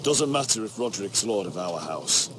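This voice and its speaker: A man's voice: on the low side, animated, normal speed, very noisy, natural voice. An actor playing a resolute, commanding young nobleman in a fantasy video game. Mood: firm. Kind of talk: dramatic video game acting.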